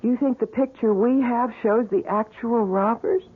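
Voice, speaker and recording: A woman's voice: on the high side, normal speed, slightly rough. An actress playing a bubbly, curious young girlfriend who is easily unsettled, in an old-time radio drama. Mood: uneasy. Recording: muffled vintage radio broadcast, faint noise.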